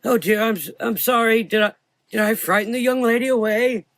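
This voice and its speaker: A man voicing a frightened, guilt-ridden blind old doctor in a gothic audio drama, anguished and halting as he talks. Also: very high-pitched, a bit bright, no echo, clean studio sound, voice pushed up. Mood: flustered, apologetic.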